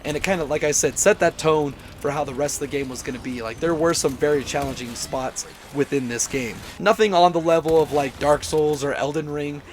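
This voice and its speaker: A man hosting a gaming channel, talking through a casual game review with a hoarse, worn-out voice after being sick. He sounds enthusiastic, rambles a bit and often corrects himself. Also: a little high, fairly quick, hardly any pauses, slight background noise. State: hoarse, voice mostly gone after illness.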